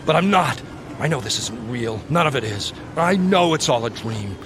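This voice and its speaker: An actor playing a desperate, confused combat driver in a video game, with an earnest, overwrought and emotional delivery. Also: male voice, mid-range voice, animated, moderate pace, close to an everyday voice. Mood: pleading, haunted. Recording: noisy old game audio.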